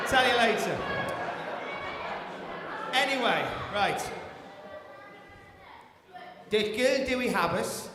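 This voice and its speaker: A man working as ring announcer, addressing a live audience in a halting, bashful manner. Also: extremely high pitch, lively intonation. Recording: echoey hall, distant, loud crowd noise. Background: noisy audience.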